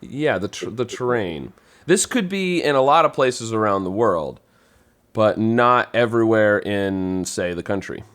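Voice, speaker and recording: Conversational male quizmaster with an animated pitch range and careful, halting answers. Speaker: puzzle master on a podcast. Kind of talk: casual chat, giving clues.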